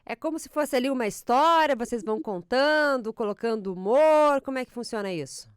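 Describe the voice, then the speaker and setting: A woman hosts an interview podcast in a friendly, well-paced manner. Her voice is smooth and clear and pitched fairly high. She is recorded dry and close to the mic. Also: brisk, no room sound. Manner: friendly, smooth scripted intro. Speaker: podcast host.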